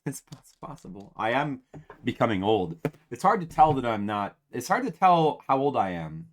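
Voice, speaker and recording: A man's voice: mid-range voice, sing-song, moderate pace. A man in his forties, a game streamer, chatting casually and ramblingly to his viewers at home, with self-mocking humor. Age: 40s.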